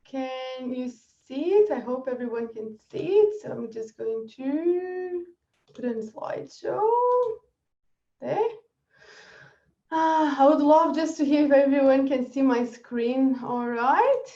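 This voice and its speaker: A woman from Brazil with a Brazilian accent, giving an energetic webinar presentation and laughing often. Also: high voice, slow, distant mic. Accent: Brazilian Portuguese. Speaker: marketing presenter. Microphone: video call. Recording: echoey dull video call audio.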